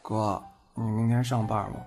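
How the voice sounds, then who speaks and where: 男演员饰演的腼腆爱说话的年轻男友，背景有音乐. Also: male voice, fairly low pitch, flat delivery, normal speed, a little mellow, close to an everyday voice. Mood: eager. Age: young adult. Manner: earnest, boyish, self-deprecating. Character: sweet, bashful, chatty young boyfriend in love. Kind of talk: Chinese audio drama acting. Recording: music under the voice. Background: background music.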